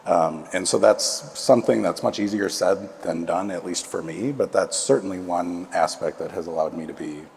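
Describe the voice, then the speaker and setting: A man speaking on a conference panel in a measured, reflective way. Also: sits low, gravelly, a little mellow. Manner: measured, reflective, with nervous laughs at the start. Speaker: panelist, HR leader. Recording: reverberant hall, faint room noise.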